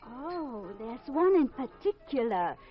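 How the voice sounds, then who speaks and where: An actress playing a sly, flirtatious fortune-teller in a 1950s radio drama, coaxing and theatrical. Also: female voice, high-pitched, big pitch swings, takes it slowly, exaggerated voice. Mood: teasing, insinuating. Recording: muffled vintage radio broadcast with music.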